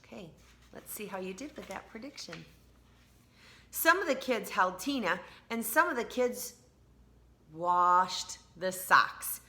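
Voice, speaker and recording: A woman teacher reading a storybook aloud to children, expressive and speaking to them directly, with drawn-out emphatic words. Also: medium pitch.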